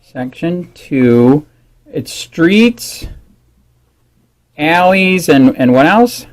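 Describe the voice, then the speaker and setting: A man in a phone conversation, speaking as a caller disputing a rule in a halting, insistent way. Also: on the high side, lively intonation.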